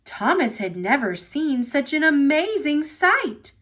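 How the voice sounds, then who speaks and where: A woman reading a children's storybook aloud as narrator, with expressive delivery. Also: high-pitched, slow. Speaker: storybook reader.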